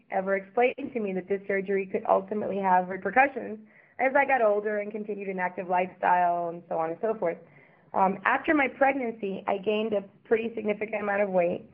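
A woman's voice: mid-range voice, gravelly. Woman guest in her forties, from Miami, chatty with lots of ums, tinny phone-line sound. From Miami, Florida. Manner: chatty, warm, frequent ums. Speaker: interview guest. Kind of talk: podcast interview.

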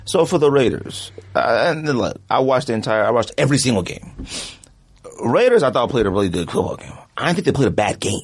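A man's voice: medium pitch, very animated, fairly quick, breathy edge, no echo. A man co-hosting a podcast, talking football in a laid-back, rambling way. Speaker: podcast co-host. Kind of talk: casual sports debate.

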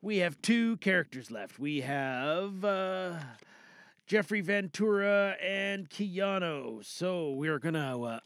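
A man's voice: high-pitched, slow, fairly bright tone, no echo, clean studio sound. A man hosting a podcast in a casual, chatty style with theatrical announcing and self-deprecating asides. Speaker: podcast host. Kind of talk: casual chatty show introduction. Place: home recording booth.

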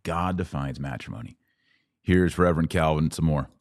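A man's voice: a bass voice, moderate pace, a little mellow. A man hosting a podcast, commenting emphatically on clips he plays and stressing his points with rising force. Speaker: podcast host.

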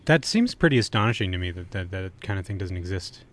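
A man's voice: low voice, lively intonation, fast talker. A man co-hosting a podcast in casual, irreverent, joking banter. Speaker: podcast co-host.

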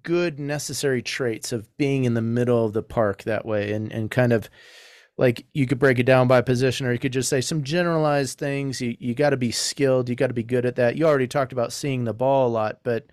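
A man's voice: medium pitch, steady tone, fairly quick, darkish tone, smooth, no room sound, studio quality. A man, a team chaplain, interviewing a player with long, rambling questions. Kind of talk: casual interview.

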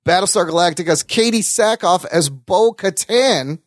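A man's voice: high voice, lively intonation, moderate pace, no echo, studio quality. A man hosting a casual entertainment news chat, talking like an enthusiastic, sweary fan. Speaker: podcast host.

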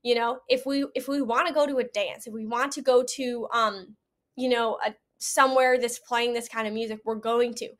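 Young woman guest on a show, really high bright voice, earnest, casual chat. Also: steady tone, moderate pace. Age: young adult. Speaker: interview guest. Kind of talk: casual interview talk.

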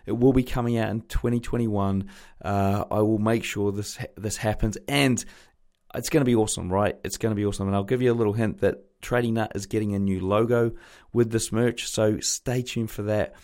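A New man hosting a podcast, chatty and rambling with many fillers, his voice a little croaky from a sore throat. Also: lowish voice, steady tone, fairly quick, darkish tone. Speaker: podcast host. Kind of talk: casual podcast intro.

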